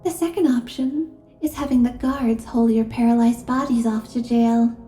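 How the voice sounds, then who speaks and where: A woman's voice: fairly high pitch, fairly even intonation, normal speed, warm tone, roomy sound, faint music behind, close to an everyday voice. A woman voicing a menacing, sanctimonious female deacon in a fantasy audio roleplay, speaking in a formal, pious and condescending way. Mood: cold, threatening. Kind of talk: ASMR fantasy roleplay acting.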